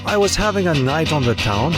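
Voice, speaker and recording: Man putting on a theatrical mystic monk over loud guitar music. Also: fairly high pitch, expressive, fairly quick, lightly acted. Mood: dramatic storytelling. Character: theatrical male mystic healer-monk of Tsarist Russia. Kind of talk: scripted comic podcast introduction.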